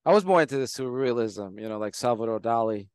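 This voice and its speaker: A man hosting a casual interview on a video call, enthusiastic and full of wows, with halting phrasing. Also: mid-range voice, expressive, darkish tone, clean tone, dry recording, clean studio sound. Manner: enthusiastic, keeps saying wow, halting phrasing. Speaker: host.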